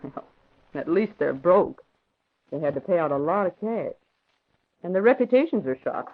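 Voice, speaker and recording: Wry, lively-voiced secretary. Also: female voice, fairly low pitch, moderate pace. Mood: dry. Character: wry, capable female newspaper secretary. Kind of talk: old-time radio drama acting. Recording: dull, noisy vintage radio recording.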